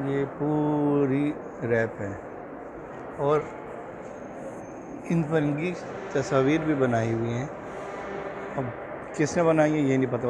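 A man in his thirties giving a casual walking tour of a large museum hall, pointing out exhibits in a loose, halting way on a handheld phone. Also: mid-range voice. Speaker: travel vlogger. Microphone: phone held at arm's length with earphone mic. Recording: loud hall background noise.

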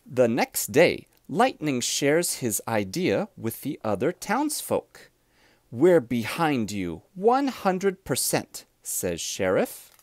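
A man reads a children's storybook aloud with deliberate, expressive phrasing. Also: mid-range voice, dry recording, clean studio sound. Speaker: storybook reader.

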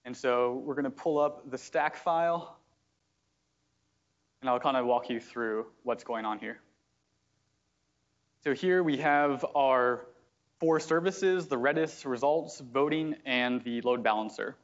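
A man explaining software step by step in a live technical demo at a meetup, with frequent fillers. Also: mid-range voice, measured pace, long pauses, muffled recording. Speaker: software engineer giving a product demo. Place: meetup room at a company office.

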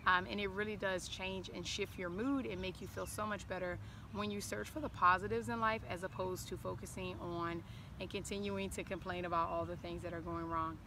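A woman's voice: fairly low pitch, fairly even intonation, brisk. A middle-aged woman giving an informal address and reading aloud, recorded outdoors. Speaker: former school counselor, guest. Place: outdoors on an open lawn. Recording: clearly noisy outdoor recording.

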